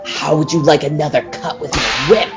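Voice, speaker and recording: A woman's voice: deep voice, normal speed, dull audio, music in the background. A woman playing a resentful, hot-tempered circus cat tamer in a 1940s-style radio play, bitter and snappish.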